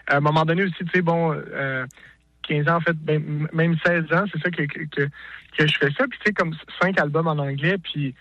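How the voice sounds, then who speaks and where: A man's voice: fairly high pitch, fairly even intonation, normal speed, dry recording, highs cut off. A male singer-songwriter with a Québécois French accent, talking casually in an interview, hesitant with many restarts and fillers.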